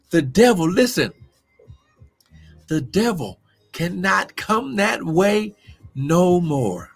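A male preacher delivering a fervent sermon, shouting often and calling out to members of his congregation. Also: high voice. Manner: shouting, exclamatory, calls out congregation members by name. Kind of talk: Pentecostal-style sermon.

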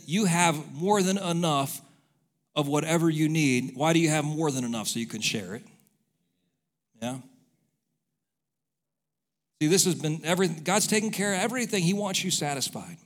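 A man preaching a sermon as a pastor, in an emphatic, repetitive, conversational way with rhetorical questions. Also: a little high. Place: large hall, church service. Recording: echoey hall reverb with music behind.

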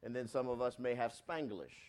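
A man pastor preaches at an even middle pitch. His delivery surges loud and high on key points, then sinks into quiet, low asides. He restarts and repeats phrases as he goes. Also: brisk. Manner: swells louder on key points and drops low and soft on asides. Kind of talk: sermon.

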